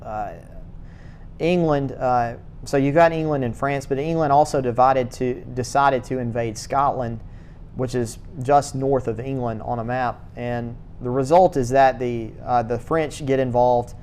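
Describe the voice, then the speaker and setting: A man lecturing on church history in a classroom, his pitch sitting in the middle range. He talks haltingly, repeating words and stopping for uh and um as he thinks. A faint low rumble hums behind him. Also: fairly even intonation, a little mellow.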